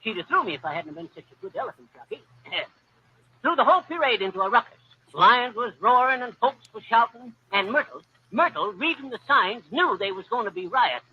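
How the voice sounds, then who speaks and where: A boastful old yarn-spinner. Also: male voice, extremely high pitch, very animated, brisk, husky, full character voice. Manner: folksy rambling yarn-spinner, laughs at own jokes. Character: boastful old male tall-tale teller. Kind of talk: 1940s radio comedy acting. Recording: dull old radio recording, faint hiss.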